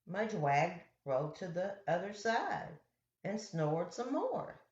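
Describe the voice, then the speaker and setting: A woman reading picture books aloud to young children as a storyteller, with deliberate, simple phrasing. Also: on the low side, sing-song, a little mellow. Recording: roomy, echoey, dull lo-fi sound.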